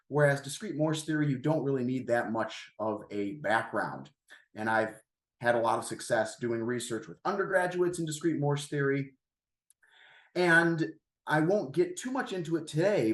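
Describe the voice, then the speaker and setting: A man giving an academic math lecture in plain, informal explanatory language, his voice slightly raspy as he gets over a cold. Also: medium pitch. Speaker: guest seminar lecturer, math researcher. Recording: roomy, echoey sound.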